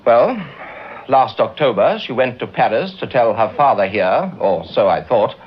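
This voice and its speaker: Smooth sardonic accuser, expressive medium-pitched voice, muffled radio-serial recording. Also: male voice. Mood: earnest, bitter. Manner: deliberate, sardonic, theatrical. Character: smooth, self-possessed suitor turned accuser. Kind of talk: vintage BBC radio drama acting.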